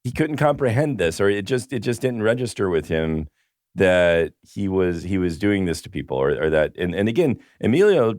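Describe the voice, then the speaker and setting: A man co-hosting a casual film podcast, rambling through a story with many restarts, his voice going hoarse. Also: low-pitched, animated, normal speed, darkish tone, no room sound, studio quality. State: losing his voice. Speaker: podcast co-host.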